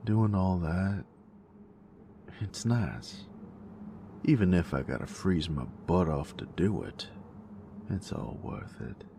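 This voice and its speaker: Very deep, gravelly cocky bully boyfriend, acted by a man. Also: heavily acted. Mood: fond, teasing. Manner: teasing, slangy, murmuring, often dropping toward a whisper. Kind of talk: intimate romantic audio roleplay monologue. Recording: noticeable background noise.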